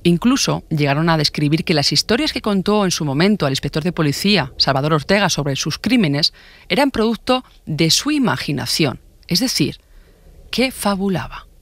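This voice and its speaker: A man narrating a scripted true-crime podcast in a measured, formal storytelling manner. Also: fairly high pitch, expressive, fairly bright tone, dry recording.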